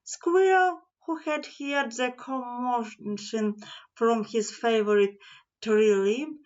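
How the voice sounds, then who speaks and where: A woman narrating a scripted children's story as an audiobook reader, deliberate and expressive. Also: on the high side, highs cut off.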